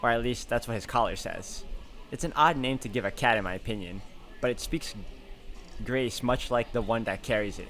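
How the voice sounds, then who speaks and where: A man narrating visual novel text aloud as a let's player, in an expressive storytelling style. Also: mid-range voice, normal speed. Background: guitar music.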